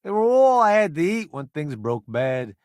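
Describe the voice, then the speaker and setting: An actor playing a wry, self-promoting food columnist turned space crewmate in a video game, breezy and full of sardonic wisecracks. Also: male voice, on the high side, big pitch swings, normal speed, darkish tone, clean tone, no room sound, studio quality.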